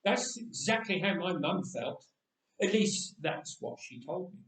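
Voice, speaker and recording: A man's voice: sits high, expressive, measured pace, a bit bright. A man reading a storybook tale as a candid thirteen-year-old boy who recalls his own death in a chatty, matter-of-fact way. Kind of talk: first-person storybook reading. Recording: echoey room, distant microphone.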